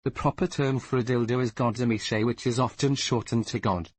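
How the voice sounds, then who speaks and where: A man reading online forum comments aloud in a brisk, straight way. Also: mid-range voice, steady tone, breathy edge, no room sound. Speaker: comment reader.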